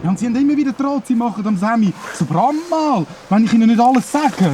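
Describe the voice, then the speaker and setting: A man's voice: extremely high pitch, lively intonation, fast talker, over loud music. An actor playing a worried, impulsive young boy in a Swiss German children's radio play, speaking urgently and full of questions. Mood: anxious.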